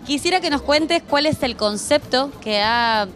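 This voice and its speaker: Woman reporter, high voice, upbeat, faint music under her. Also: lively intonation, a little slow, fairly bright tone. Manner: enthusiastic, presenter-like. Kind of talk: TV reporting and interviewing.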